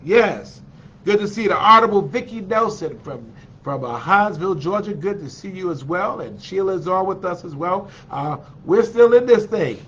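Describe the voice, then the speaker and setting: A man's voice: high-pitched, lively intonation, moderate pace, fairly bright tone, husky. Male livestream host, congested and nasal, chatty, plenty of fillers, dull lo-fi sound. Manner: chatty and encouraging, with many fillers. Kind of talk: casual livestream chat greeting viewers.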